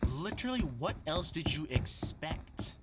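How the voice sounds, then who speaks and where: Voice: mid-range voice, big pitch swings, a little slow, loud music behind the voice. An actor in a horror audio roleplay voicing a bitter, grandiose scientist bent on revenge, mocking, theatrical and menacing. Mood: scornful, bitter. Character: vengeful, gloating scientist turned superhuman, bitter and grandiose.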